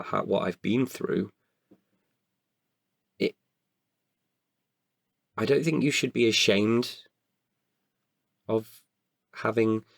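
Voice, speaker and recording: A man with a creaky voice in a candid podcast conversation, speaking hesitantly and often correcting himself. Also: on the low side, dry recording, studio quality. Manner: hesitant, halting with self-corrections. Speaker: writer-performer guest.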